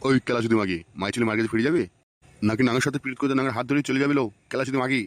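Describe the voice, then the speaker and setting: A man's voice: medium pitch, fairly even intonation, rapid-fire, no room sound, natural voice. A man voicing an angry, suspicious, foul-mouthed old village husband in a comic cartoon, cursing and scolding. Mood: scornful, suspicious. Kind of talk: comic Bengali cartoon voice acting.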